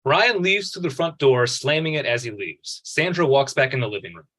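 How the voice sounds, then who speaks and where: A man's voice: medium pitch, animated, normal speed, a bit bright, low-quality recording. A man reading scripted stage directions as narrator at a table read.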